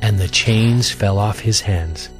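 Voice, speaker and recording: A man giving a scripted, solemn and measured narration for an audio drama of scripture. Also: fairly low pitch, soft background music.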